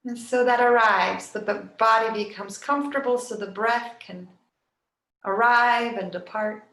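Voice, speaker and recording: Soft soothing lady doing yoga nidra relaxation, really slow w long pauses, echoey room. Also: on the high side. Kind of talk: guided relaxation meditation. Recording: roomy, echoing sound.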